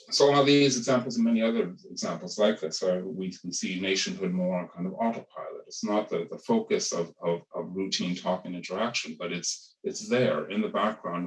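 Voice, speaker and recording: Deep-voiced man on a distant, roomy call. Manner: thoughtful, hedging, many restarts and repeated words. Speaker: academic panelist. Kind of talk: academic webinar talk. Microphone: video call.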